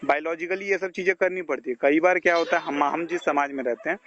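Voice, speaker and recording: A man's voice: fairly high pitch, steady tone, fast talker, a bit bright, lo-fi sound, a little hiss or hum. A man with an Indian accent giving informal phone counselling, explaining things and checking that he is understood, on a call recording. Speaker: health counsellor advising a caller.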